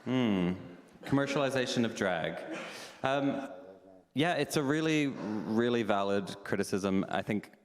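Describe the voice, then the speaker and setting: A man with a very low voice hosts a live panel, answering questions frankly and candidly. He thinks aloud as he goes. The sound carries a long reverb from a large hall. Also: animated, unhurried. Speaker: panel host, drag performer. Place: meeting house hall.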